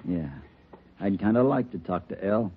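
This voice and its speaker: Phone-like old radio tough bomber. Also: male voice, on the low side, moderate pace, husky. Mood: wary, smug. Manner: slangy, tough talk. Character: stubborn, threatening male bomber holding out for his jailed brother. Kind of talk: 1940s radio crime drama acting. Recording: old radio recording, phone-like, noisy, clicks.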